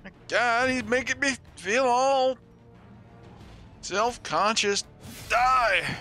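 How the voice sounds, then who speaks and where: A man's voice: very high-pitched, extremely slow, sharp tone, faint music behind. A man in his forties chatting casually and rambling with some salty swearing as he streams a game. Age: 40s. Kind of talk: casual live-stream chat with gameplay commentary.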